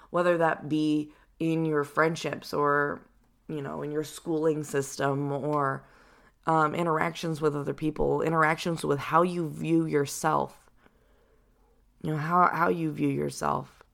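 A young adult woman with a deep voice, hosting a personal reflective podcast in a casual, rambling way with lots of fillers. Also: fairly even intonation, measured pace, gravelly. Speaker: podcast host.

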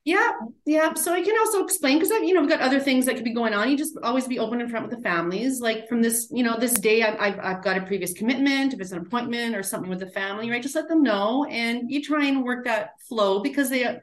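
A woman's voice: fairly high pitch, fast talker, hardly any pauses, reverberant. A middle-aged woman, a guest who talks in a chatty way and often adds "right?" and "like". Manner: chatty, warm, many "right?" and "like" tags. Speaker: guest, end-of-life doula. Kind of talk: casual interview talk.

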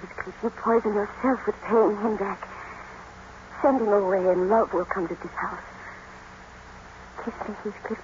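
An actress as a pleading young wife, muffled old radio. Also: female voice, mid-range voice, steady tone, measured pace, long pauses, a little mellow, natural voice. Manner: earnest, melodramatic. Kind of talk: 1950s radio drama acting. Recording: dull old radio broadcast, faint noise.

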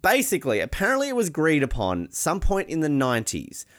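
Animated, high-voiced man. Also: fairly quick. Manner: animated, joking, swears freely. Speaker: podcast co-host. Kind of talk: casual comedic chat.

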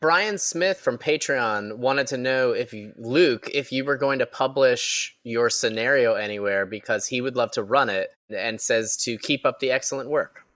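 A man's voice: mid-range voice, moderate pace, hardly any pauses, a bit bright. A man on a podcast panel reading listener messages aloud.

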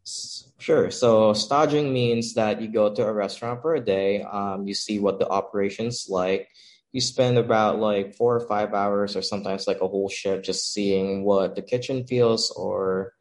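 A man's voice: on the low side, normal speed. A young man from the Philippines, a cook and pop-up owner, chatting casually as a guest and laughing often. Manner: relaxed, laughs often, many fillers like "um" and "like". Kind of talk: casual interview chat.

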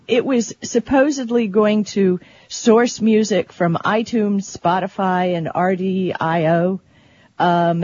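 Female talk radio co-host, dry and blunt, mid pitch, slightly muffled sound. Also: a little mellow, dry recording. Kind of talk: casual radio banter.

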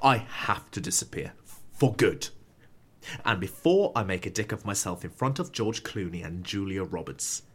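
An actor playing a despairing, anxious famous comedian with no humour left, hesitant and melodramatic, in a scripted audio comedy. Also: male voice, deep voice, animated, moderate pace, fairly bright tone, breathy edge, full character voice. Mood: desperate.

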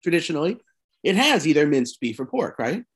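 A man with a voice a little higher than most men's, leading a relaxed conversational interview. He asks curious follow-up questions of his guest. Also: brisk, husky.

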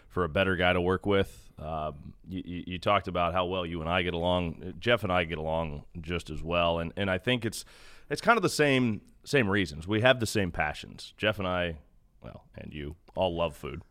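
A man's voice: deep voice, a bit bright, dry recording, clean studio sound. A man who works as a sports radio announcer, chatting casually and earnestly as a podcast guest. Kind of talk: casual interview chat.

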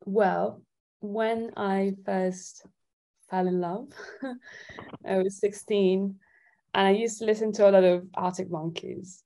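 A woman's voice: medium pitch, steady tone, slow, long pauses, mellow. A woman from Italy, a guest singer-songwriter chatting casually in a giggly, thoughtful way. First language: Italian. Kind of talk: casual chat.

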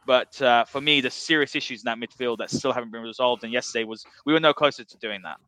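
A man's voice: medium pitch, quick pace, pushed and bright, clean tone, no echo, rough recording. A man hosting a football podcast, giving blunt, passionate opinions. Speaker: podcast host.

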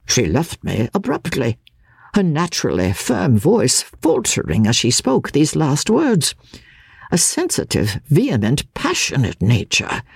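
A woman voicing an elderly gentleman lawyer who narrates a classic novel in a formal, deliberate, self-assured manner. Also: rumbling low, gravelly, husky, dry recording, heavily acted. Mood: reflective. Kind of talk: first-person literary narration.

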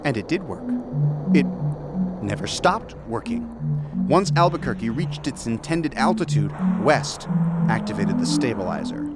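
A man's voice: medium pitch, lively intonation, loud music behind the voice, lightly acted. An actor playing a digressive storyteller, narrating a tall tale conversationally in an audio drama and flustering over his own words. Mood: earnest, rambling. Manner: halting, digressive, flustered self-corrections. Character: rambling, self-correcting male storyteller of a tall tale.

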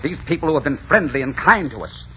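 An actor playing a commanding, ardent Spanish conquistador who also narrates, in a dramatic 1940s radio adventure style. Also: male voice, on the high side, lively intonation, fast talker, pushed and bright, slight background noise. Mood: commanding anger. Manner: dramatic, authoritative. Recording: dull 1940s radio recording, music behind.

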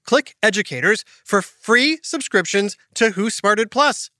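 A woman's voice: medium pitch, expressive, a little slow, sharp tone, no echo. A woman hosting a children's podcast, reading a scripted announcement in an upbeat, emphatic way, recorded dry in a studio. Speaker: podcast host.

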